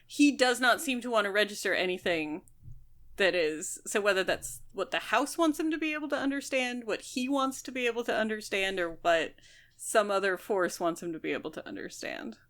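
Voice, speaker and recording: A woman's voice: mid-range voice, animated, moderate pace, fairly bright tone. A woman co-hosting a podcast, discussing a book casually and analytically, thinking aloud as she goes. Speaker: podcast co-host.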